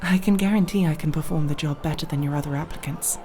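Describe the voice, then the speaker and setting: A nervous young elf rogue, acted by a woman in an ASMR roleplay, speaks in prim, elaborate phrases. Her voice sits a little low for a woman, and she hesitates politely while keeping her pride. Music plays behind her. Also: quick pace, warm tone, natural voice. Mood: defensive, earnest. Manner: formal, wordy, prim diction with hesitations. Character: nervous, proud, formal young female elf thief.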